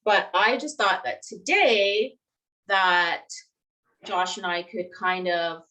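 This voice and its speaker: A young woman with a mid-pitched voice co-hosts a relaxed livestream, speaking slowly and chattily and giggling often. She fills her talk with casual fillers as she asks questions. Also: poor audio. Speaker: co-host, game fan group.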